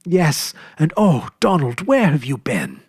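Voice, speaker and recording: Man doing eager young girl, lifted pitch, breathy husk; storybook. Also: huge pitch swings, unhurried, dry recording, clean studio sound, full character voice. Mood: overjoyed. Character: young girl, joyful and emotional.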